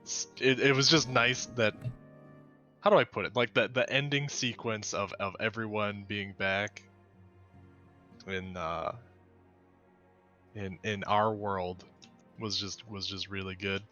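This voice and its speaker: A man chatting casually about a game, halting as he gropes for words, over a lo-fi voice chat. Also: on the low side, fairly even intonation, sharp tone, faint music behind. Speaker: guest chatting with game streamer. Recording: dull lo-fi voice chat.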